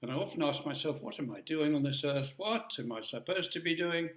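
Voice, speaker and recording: A man's voice: on the high side, expressive, fairly quick, a bit bright, reverberant. An older man giving a chatty, joking talk to an audience, rambling with asides. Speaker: guest speaker.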